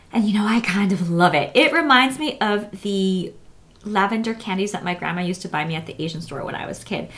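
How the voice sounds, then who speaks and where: A woman beauty blogger speaks at a medium pitch in a casual, conversational way. She sounds chatty and enthusiastic as she walks through a product review for her viewers. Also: a bit bright.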